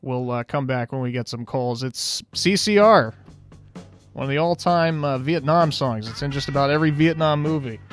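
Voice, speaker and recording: A man's voice: medium pitch, normal speed, soft background music. A man hosting a call-in radio show in a casual, rambling way, his voice tired and fading. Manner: easygoing and rambling, with frequent "uh"s.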